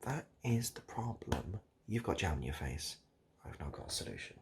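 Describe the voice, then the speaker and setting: A British man doing improvised, absurd comedy straight to the listener in a hesitant, repetitive, deadpan ramble. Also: low voice, normal speed, a little hiss or hum. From United Kingdom. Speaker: comedic video host. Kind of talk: improvised absurd comedy roleplay addressed to the viewer.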